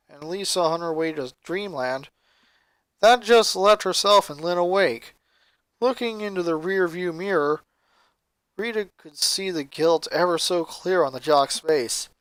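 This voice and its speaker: A woman's voice: lowish voice, dry recording. A woman narrating a piece of fan fiction as a storyteller, recorded close to the mic.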